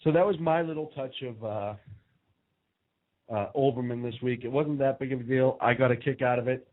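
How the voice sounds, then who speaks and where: A wry, joking American man trades sarcastic stories with his co-host and keeps laughing at his own jokes. His voice sits at an ordinary middle pitch. It comes through a narrow, phone-like band. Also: a little fast. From United States. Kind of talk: casual political talk banter.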